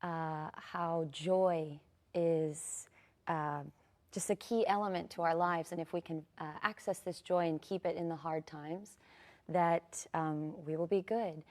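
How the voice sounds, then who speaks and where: A woman's voice: on the low side, steady tone, a little slow. A woman guest on a TV morning show, speaking earnestly with fillers about a ballet. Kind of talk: TV interview.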